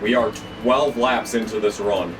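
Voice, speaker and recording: A young man, hoarse from losing his voice, commentating his racing game run with frequent swearing and excited outbursts. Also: on the low side, a little slow, distant mic, music in the background. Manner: excitable, swears often, jumps into yelps when the race turns. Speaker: gaming channel host. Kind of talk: gameplay commentary.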